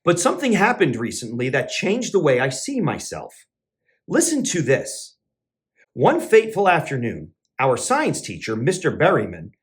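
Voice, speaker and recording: Animated man reading a children's story. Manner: expressive storybook narration with sing-song pitch swings. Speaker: teacher and children's entertainer. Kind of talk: children's read-aloud.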